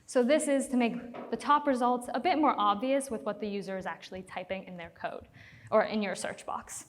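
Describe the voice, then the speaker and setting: A woman's voice: a little high, moderate pace. Nervous, upbeat woman presenting in a hall. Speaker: conference speaker, product manager. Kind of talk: conference presentation. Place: large conference hall. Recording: long hall reverb.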